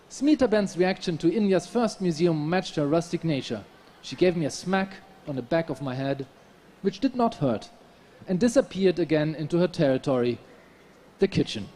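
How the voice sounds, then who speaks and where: A man's voice: fairly high pitch, a little mellow, slight background noise. German-accented man reading to an audience. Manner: halting self-deprecating asides with many restarts. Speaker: novelist at a literary festival. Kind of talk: reading from his novel, then casual stage talk. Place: festival stage, audience present.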